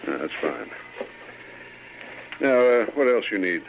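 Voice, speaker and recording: An actor playing a patient, obliging American general store keeper with a folksy, coaxing manner in a radio western. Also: male voice, on the low side, sing-song, takes it slowly, gravelly, heavily acted. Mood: patient, helpful. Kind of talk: old-time radio western acting. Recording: dull, faintly noisy vintage radio.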